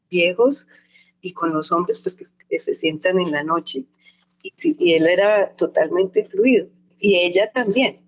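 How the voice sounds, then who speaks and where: A woman's voice: on the low side, low-quality recording. An older woman, an anthropologist answering interview questions in a thoughtful, reflective way, often restarting and hedging as she remembers. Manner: thoughtful, with frequent restarts and hedges.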